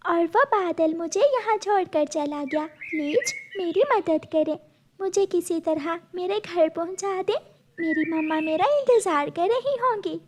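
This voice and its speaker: A woman's voice: very high-pitched, unhurried, slight background noise, voice pushed up. A woman voicing a little girl sparrow chick who is lost and pleads tearfully for her mother. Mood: pleading.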